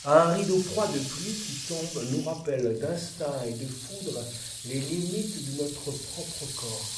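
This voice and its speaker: A man reciting a poetic dramatic monologue in a measured, deliberate way, with pauses between phrases. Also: a little high, expressive. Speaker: monologue performer. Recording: roomy, distant, noisy with water-like hiss.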